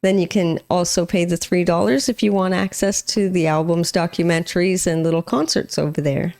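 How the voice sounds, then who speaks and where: A chatty, middle-aged woman talking casually with her partner as she co-hosts a reaction video. Her voice sits a little low for a woman, and she laughs easily between points. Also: steady tone, a little fast, gravelly, darkish tone. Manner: chatty, laughs often, upbeat sign-off. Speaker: co-host of a music reaction channel.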